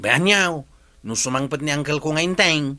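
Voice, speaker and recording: A man's voice: fairly high pitch, normal speed, sharp tone. A man with an Ilocano accent playing a stubborn, bantering village goat keeper in a comedy radio drama. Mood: indignant. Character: stubborn, argumentative village man who keeps goats.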